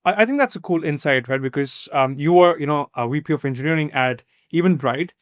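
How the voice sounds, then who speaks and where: A man hosting a podcast, with a smooth, fairly high voice. He asks long, loosely worded questions in a casual way. The recording is dry and close-miked. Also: expressive, brisk, no echo. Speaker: podcast host. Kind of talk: casual interview.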